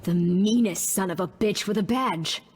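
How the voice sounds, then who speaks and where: A woman's voice: medium pitch, normal speed, heavy background noise, natural voice. Woman acting a tough, blunt barn owner. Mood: wary, warning. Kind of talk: audio drama acting, dystopian western.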